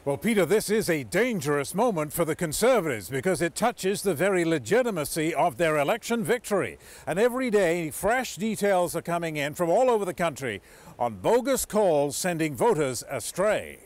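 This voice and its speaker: Animated male news correspondent, higher voice. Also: moderate pace. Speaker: senior political correspondent. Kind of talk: scripted news report narration.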